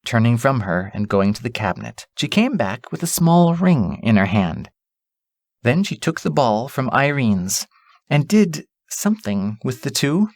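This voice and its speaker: Guy narrating a fairy tale audiobook, super lively intonation, dramatic n deliberate, dry close sound. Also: mid-range voice, dry recording. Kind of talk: scripted storybook narration.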